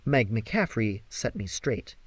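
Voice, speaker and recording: A man reading a novel aloud as an audiobook narrator, with an expressive, dramatic delivery. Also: on the low side, slow pace, slightly rough, no room sound. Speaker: amateur audiobook reader.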